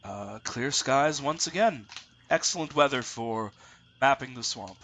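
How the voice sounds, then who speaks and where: A man narrating as dungeon master of a tabletop game, halting with many fillers, congested with a lingering cough. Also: mid-range voice, expressive, a bit bright. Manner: halting, many ums and uhs.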